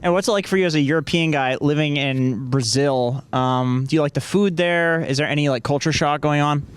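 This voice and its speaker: A man casually interviewing a player, playful and halting with lots of filler words. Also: fairly high pitch, clear, resonant tone, no echo.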